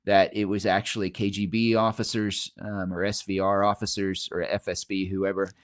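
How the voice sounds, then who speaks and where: A middle-aged man speaks as an author guest on a podcast, his voice on the low side. He explains at length, thinking aloud with fillers and restarts. The recording is dry and close. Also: level tone, moderate pace, no echo. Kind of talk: casual interview chat.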